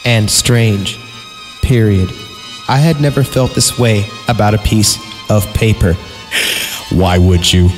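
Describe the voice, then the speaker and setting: Male podcast host, lowish voice, tongue-in-cheek creepy-story reader, sarcastic and informal, heavy reverb with music underneath. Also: takes it slowly, long pauses. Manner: sarcastic, breaking off from narration into giggly asides. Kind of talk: mocking dramatic story reading with comic asides. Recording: reverberant voice over background music.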